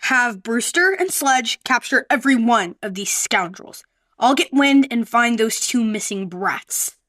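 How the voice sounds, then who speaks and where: A woman's voice: on the high side, animated, a little slow, a bit bright. A woman playing a scheming, bossy, spiteful orphanage mistress in a radio play. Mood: furious.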